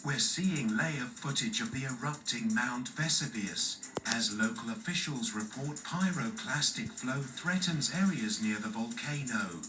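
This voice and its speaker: A man's voice: mid-range voice, lively intonation, a little slow, hardly any pauses, background noise. A man presenting a scripted breaking news bulletin as a news anchor. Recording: roomy, distant playback with music.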